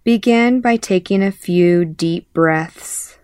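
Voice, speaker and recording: A woman leading a guided meditation as an instructor, calmly and in short, measured phrases with pauses. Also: lowish voice, clear, resonant tone, dry recording, studio quality. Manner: calm, measured, pausing between short phrases. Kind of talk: guided body scan meditation.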